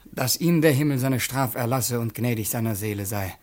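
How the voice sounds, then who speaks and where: An actor playing a sly, mocking servant turned heir, declaiming silkily in a theatrical radio play. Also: male voice, medium pitch, moderate pace, darkish tone, natural voice. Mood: smooth, persuasive.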